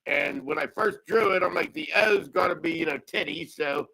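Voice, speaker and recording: A man's voice: fairly high pitch, moderate pace, vocal fry, pushed and bright, poor audio. A man in his fifties, a podcast guest chatting casually, rambling and laughing often. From Pennsylvania. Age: 50s.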